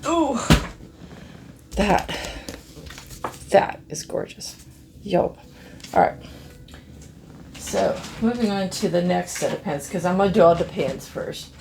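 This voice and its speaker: A middle-aged woman with a hoarse, scratchy voice, chatting slowly to viewers as she reviews art pens and marvels at their colors. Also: fairly low pitch, expressive, a little mellow. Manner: slow, musing, easily amazed, talks to viewers. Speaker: craft channel host. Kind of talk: casual product review chat.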